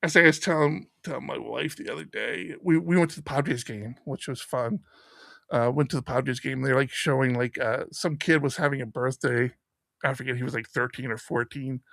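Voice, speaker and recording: A man's voice: medium pitch, normal speed, gravelly, dry recording, clean studio sound. A man in his fifties co-hosting a podcast, telling stories in casual chat and acting out the voices of people he quotes. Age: 50s. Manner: animated storyteller, acts out quoted voices. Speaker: podcast co-host.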